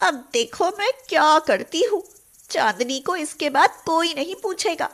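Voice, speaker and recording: Woman doing a high, jealous scheming girl. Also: expressive, a little slow, a bit bright. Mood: spiteful. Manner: sly, sugary when scheming. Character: jealous scheming young female parlour worker. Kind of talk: storybook voice acting.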